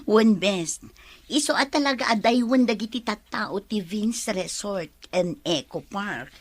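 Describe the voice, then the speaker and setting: A woman's voice: mid-range voice, unhurried, no room sound. A woman playing the agreeable best friend of a resort boss in a radio drama. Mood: agreeable, playful.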